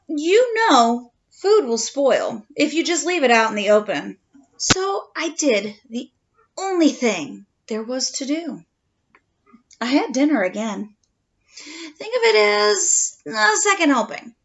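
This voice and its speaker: A woman voicing an aggrieved, slyly self-pitying male wolf who tells his side of a storybook tale with mock-innocent, drawn-out drama. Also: high voice, sing-song, fairly bright tone, muffled recording, full character voice. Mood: wry, self-justifying.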